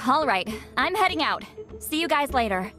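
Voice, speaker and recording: A woman's voice: sits high, big pitch swings, unhurried. An actress playing a sardonic, self-assured young female shaman in a video game, speaking in a dry, bossy manner with music behind her. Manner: wry, dry, sighing, bossy. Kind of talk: video game dialogue acting.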